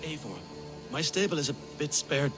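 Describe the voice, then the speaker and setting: A hopeful stable keeper from a Viking video game, played by a man. His eager, bargaining voice is slightly high. Music is clearly heard underneath. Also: moderate pace, close to an everyday voice. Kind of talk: video game dramatic acting.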